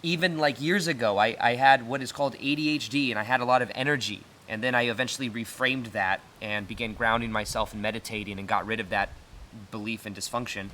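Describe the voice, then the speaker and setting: A man with an American accent and a naturally loud voice, talking earnestly in a casual motivational monologue to the camera. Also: medium pitch, steady tone, fast talker, slight background noise. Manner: earnest, fillers and restarts. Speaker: self-help video host. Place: retreat in Costa Rica.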